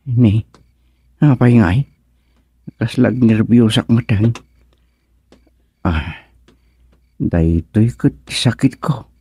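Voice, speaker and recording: A man's voice: fairly low pitch, extremely slow, pauses often, dark tone, breathy edge, exaggerated voice. A man acting a bashful, nervous young suitor in an Ilocano radio comedy, stammering and exclaiming with an Ilocano accent. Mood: nervous, praying. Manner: stammering, exclaiming, muttering anxiously to himself.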